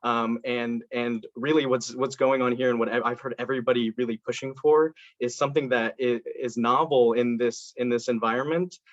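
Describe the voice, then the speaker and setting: A man's voice: medium pitch, fairly even intonation, moderate pace, hardly any pauses, no echo. Earnest young man on a dull video call. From Indiana. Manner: earnest, with many fillers and restarts. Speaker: candidate in a student council election. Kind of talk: campaign speech and answers to questions.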